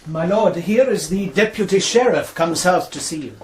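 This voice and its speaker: A man's voice: high-pitched, expressive, normal speed, reverberant, noisy background. A man acting in a radio drama as a formal, deferential household steward. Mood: deferential, cautious.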